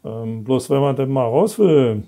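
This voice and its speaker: A man, a guest author talking in a relaxed, rambling way with many fillers, on a lapel mic in a studio. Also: mid-range voice, unhurried. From Berlin, East Germany. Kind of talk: relaxed conversational interview. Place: studio set with brick wall.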